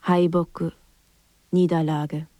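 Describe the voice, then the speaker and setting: A woman narrating a literary radio drama in a calm, restrained and measured manner. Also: deep voice. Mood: solemn. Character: restrained, somber female narrator of a writer's decline.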